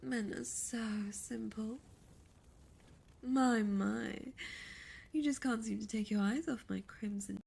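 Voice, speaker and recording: A woman voicing a seductive, imperious demon succubus in an ASMR roleplay, breathy and often whispering, with formal, teasing, menacing diction. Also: on the high side, takes it slowly, long pauses, darkish tone, heavily acted. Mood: seductive, domineering.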